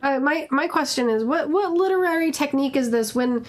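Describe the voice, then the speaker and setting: A woman's voice: high-pitched, expressive, a little fast. A woman chatting casually and playfully as a panel member. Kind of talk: casual chat.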